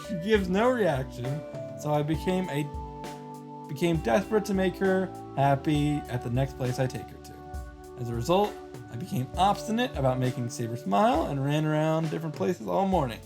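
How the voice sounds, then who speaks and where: A man reading visual novel text aloud and adding his own animated reactions and summary commentary. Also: fairly high pitch, moderate pace, vocal fry, over music. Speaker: visual novel streamer and narrator.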